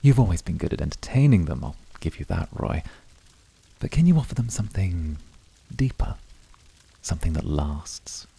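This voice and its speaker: A man playing a cool, courtly incubus demon in an ASMR roleplay, formal and measured, devoted yet dryly teasing. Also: sits low, very animated, vocal fry, mellow, husky, full character voice. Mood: cool, challenging.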